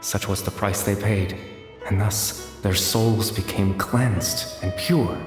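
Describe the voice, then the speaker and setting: A man voicing a solemn, mysterious storyteller who recites slowly and poetically in a video game. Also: lowish voice, steady tone, over music. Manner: slow, hushed, poetic recitation. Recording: echoey reverberant voice.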